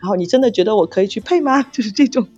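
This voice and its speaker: Woman guest, lo-fi sound, animated intonation, self-deprecating and giggly, halting casual talk. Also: mid-range voice. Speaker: novel author, guest. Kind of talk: casual unscripted chat. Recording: muffled lo-fi audio, music behind. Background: saxophone background music.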